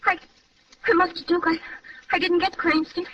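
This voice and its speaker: An actress playing a frightened but defiant gangster's accomplice in an old radio crime drama, highly emotional. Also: female voice, flat delivery, normal speed, a bit bright, lo-fi sound. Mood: nervous. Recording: distant, noisy, band-limited vintage radio recording.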